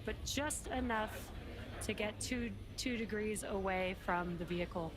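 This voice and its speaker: A woman's voice: medium pitch, unhurried, background noise. A woman co-commentating on a live broadcast, explaining technical steps in a conversational way. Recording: music playing behind voice. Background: music.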